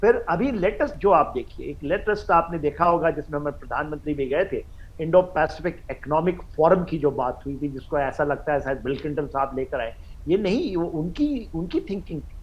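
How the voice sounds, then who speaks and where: Higher-pitched Indian man, halting commentator. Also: lively intonation, highs cut off. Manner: halting, repetitive, mixes in English phrases. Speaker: expert guest commentator. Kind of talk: live news panel analysis.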